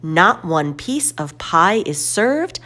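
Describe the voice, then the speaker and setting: A woman reads aloud to children as a storyteller. Her voice sits a little low and moves through lively, varied intonation. She takes her time, phrasing each line deliberately. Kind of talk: expressive children's read-aloud narration.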